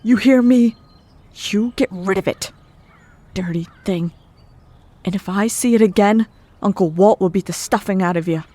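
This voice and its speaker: A woman playing a mean aunt in an old-time radio drama, scolding and bossy in a harsh, loud way. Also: mid-range voice, moderate pace, long pauses, a little hiss or hum, lightly acted. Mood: angry, scolding. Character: mean, scolding aunt bullying a small boy.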